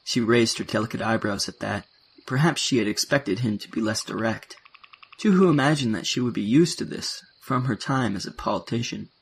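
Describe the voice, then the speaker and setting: A man's voice: on the low side, brisk, gravelly, husky. A man narrating a science fiction audio play in a scripted storytelling style.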